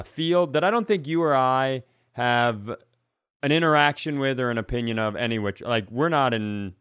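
A man with a smooth, resonant voice, recorded dry and close. He chats casually as a podcast co-host, circling around his point and rephrasing as he goes. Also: medium pitch, fairly bright tone, no room sound. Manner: rambling, self-correcting, often restating himself. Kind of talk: casual comedy podcast chat.

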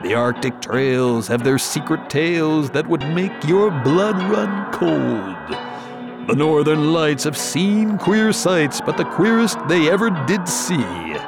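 An actor playing a haunted gold prospector who recites a grisly Arctic horror poem in a theatrical way, swinging between hushed dread and cries. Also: male voice, mid-range voice, sing-song, slow, music in the background. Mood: grim wonder. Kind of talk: dramatic horror poetry recitation over music.

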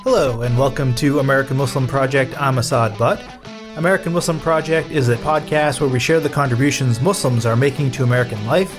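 A man's voice: mid-range voice, quick pace, over music. A man hosting a podcast, introducing and interviewing a guest. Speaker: podcast host.

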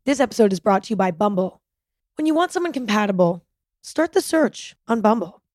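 A woman's voice: medium pitch, a little fast, no echo, studio quality. Woman reading a scripted ad. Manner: even, promotional read. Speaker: ad reader. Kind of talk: scripted ad read.

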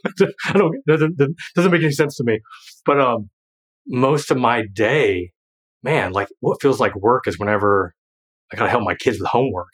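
A man's voice: medium pitch, fairly bright tone, breathy edge. A man in his thirties talking casually in a podcast conversation, rambling with many fillers and restarts and laughing often. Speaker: guest, former financial planner and creator.

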